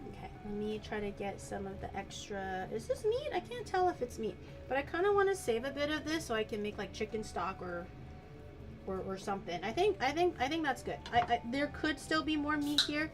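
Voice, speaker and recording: A woman's voice: a little high, sing-song, a little fast. A woman whose first language is Mandarin, chatting and giggling in a playful way while cooking on a casual livestream. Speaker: streamer. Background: background music.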